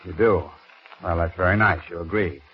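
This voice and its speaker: Exasperated, matter-of-fact police commissioner, lower voice, vintage dull-sounding radio drama. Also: male voice, moderate pace, slightly rough. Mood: brusque, impatient. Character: brusque, skeptical male police commissioner. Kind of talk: 1940s radio crime acting.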